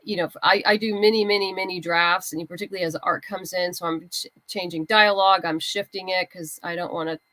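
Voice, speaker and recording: A woman's voice: lowish voice, steady tone, brisk, hardly any pauses, a bit bright, no room sound, rough recording. A woman speaking casually as a guest writer in an interview, explaining her creative process and acting out little asides.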